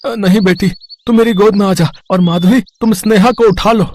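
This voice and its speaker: A man's voice: sits high, steady tone, moderate pace, a little mellow, slight background noise, natural voice. A man voicing a poor, loving father in a moral story, sorrowful and earnest. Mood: concerned.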